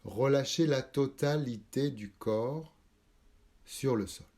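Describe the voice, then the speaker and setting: A man leading a guided yoga nidra meditation, calm and measured, instructing in short spaced phrases. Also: medium pitch. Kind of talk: slow guided relaxation meditation.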